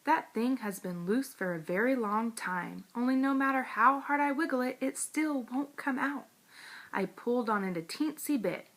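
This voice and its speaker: A woman reading a children's book aloud in an expressive, emphatic, childlike way. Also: fairly high pitch, moderate pace. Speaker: storybook read-aloud reader.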